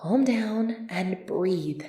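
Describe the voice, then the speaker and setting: A woman's voice: mid-range voice, lively intonation, extremely slow, dark tone, husky, no echo, exaggerated voice. A woman playing an earnest, easily flustered medieval knight devoted to her prince, speaking politely and formally in an ASMR roleplay. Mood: nervous. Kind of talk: ASMR roleplay acting, formal old-fashioned speech.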